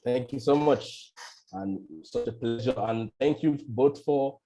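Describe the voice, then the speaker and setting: A man hosts an online panel through a video call mic. His voice is mellow and sits at a middle pitch. He talks in a relaxed way, with frequent "you know"s. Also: a little slow, low-quality recording. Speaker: webinar moderator.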